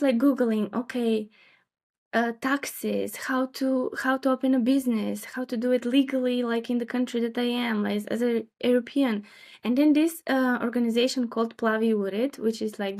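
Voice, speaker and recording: Young woman, fairly high voice, thoughtful, hesitant fillers. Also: a little mellow. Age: young adult. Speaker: interview guest, freelance designer. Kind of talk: casual interview chat.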